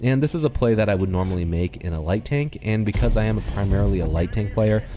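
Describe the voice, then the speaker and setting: A man with a slightly nasal, congested voice calmly explaining a game replay to his viewers on a desktop microphone, thinking aloud with the odd um. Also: fairly low pitch, fast talker. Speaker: gaming channel host. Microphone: desktop USB microphone.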